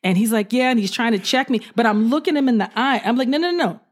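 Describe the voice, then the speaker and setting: A woman police officer telling an animated story in an interview, acting out what she said at the time. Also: fairly high pitch, fast. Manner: animated, acts out her own quotes.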